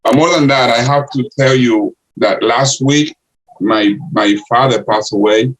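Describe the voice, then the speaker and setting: An older man from the Republic, answering interview questions in halting English full of restarts. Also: mid-range voice, rough recording. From Dominican Republic. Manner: halting, many restarts and uh fillers. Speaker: guest, city councilor and candidate.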